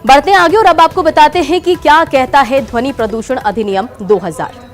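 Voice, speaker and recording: A woman anchors a television news segment in a distinctly high voice. She reads scripted explanations as a presenter does, over a faint music bed. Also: brisk. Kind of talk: scripted news narration with live anchor hand-off.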